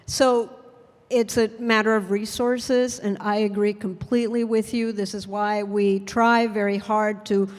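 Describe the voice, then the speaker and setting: A woman's voice: medium pitch, little pitch movement, a little slow. Earnest woman panelist in a reverberant hall. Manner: earnest, thoughtful, with frequent fillers. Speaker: federal public defender panelist. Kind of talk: panel discussion answer. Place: conference hall.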